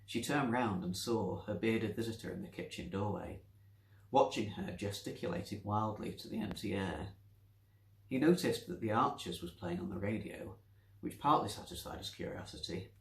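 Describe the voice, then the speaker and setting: A man reading a story aloud as expressive scripted narration. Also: fairly low pitch, normal speed, creaky. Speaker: story reader. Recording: roomy, echoey sound.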